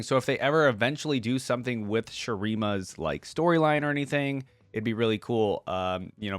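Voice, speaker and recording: Deep-voiced man, casual reader. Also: no echo. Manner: laid-back, colloquial fan talk. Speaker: reaction video host reading lore aloud. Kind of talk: casual commentary and story reading.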